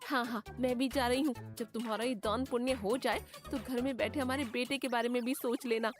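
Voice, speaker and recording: A woman voicing a sharp-tongued, worried young village wife and mother in a cartoon story, with a complaining manner. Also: a little high, fairly even intonation, fairly quick, soft background music, close to an everyday voice. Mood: scolding. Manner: scolding, complaining. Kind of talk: Hindi cartoon story acting.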